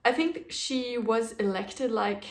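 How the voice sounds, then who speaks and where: A woman's voice: on the high side, takes it slowly. A young woman with a German accent, who grew up speaking a German dialect, chatting casually and rambling a little on her solo podcast. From German-speaking region. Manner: chatty, rambling, with fillers and small laughs. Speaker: podcast host.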